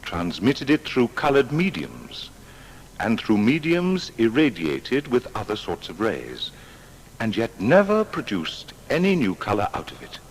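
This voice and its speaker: An actor playing a proud, exacting seventeenth-century English natural philosopher, reading his papers in formal, deliberate diction. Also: on the low side, huge pitch swings, full character voice. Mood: measured, absorbed. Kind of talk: dramatised reading of period letters and papers. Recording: long hall reverb, faint background noise.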